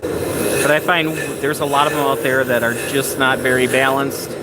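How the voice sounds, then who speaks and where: A man's voice: mid-range voice, flat delivery, normal speed. A man with a Wisconsin Midwestern accent, a woodturner chatting casually on a livestream in his workshop and answering viewers. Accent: Midwestern American (Wisconsin). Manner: plainspoken, folksy, answers viewer questions. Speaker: woodturner and spindle maker. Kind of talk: casual livestream chat while working. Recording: loud noise behind the voice.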